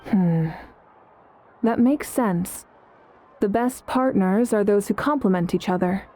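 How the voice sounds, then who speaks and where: An actress playing a calm, resolute female leader in a video game, composed and authoritative. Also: medium pitch, slow, slight background noise, natural voice. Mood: calm, considering. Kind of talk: English video game character acting.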